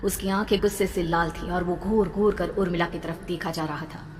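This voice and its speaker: A woman's voice: lowish voice, steady tone, moderate pace, slight background noise. A woman narrating a scripted horror story in a dramatic storytelling manner. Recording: reverberant, with background music.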